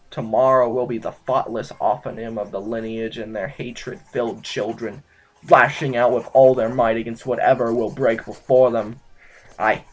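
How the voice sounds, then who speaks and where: An actor in an audio drama playing a rambling, disillusioned duke and mentor, halting and musing with theatrical outbursts. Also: male voice, mid-range voice, close to an everyday voice, rough recording. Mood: bitter, scornful. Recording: music behind.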